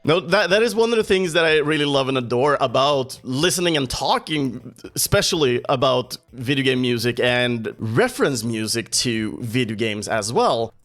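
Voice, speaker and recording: A man's voice: mid-range voice, animated, moderate pace, few pauses, fairly bright tone, faint music behind. A man hosting a casual podcast interview, upbeat and enthusiastic, often laughing. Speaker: podcast host.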